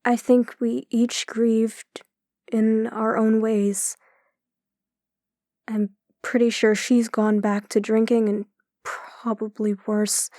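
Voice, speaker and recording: Slow, gentle, troubled young-hearted woman acted. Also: on the high side, level tone, dry recording, clean studio sound. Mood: sad, confiding. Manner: hesitant, halting, confiding. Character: gentle, troubled woman opening up to her brother. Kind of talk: audio drama acting.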